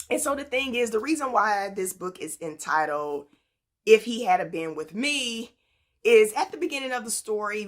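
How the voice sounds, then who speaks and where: A woman's voice: mid-range voice, a bit bright. A woman talking casually to her viewers as a book vlogger, telling stories in a conversational way and tossing out rhetorical questions. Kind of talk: casual, chatty book haul presentation.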